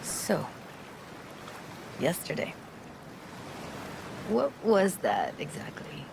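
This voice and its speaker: A woman's voice: lowish voice, wildly swooping pitch, very slow, gravelly, background noise, heavily acted. A woman playing a guarded but tender young techie in a video game, talking in an intimate, hesitant, slangy way. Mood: earnest, probing. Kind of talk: naturalistic video game acting.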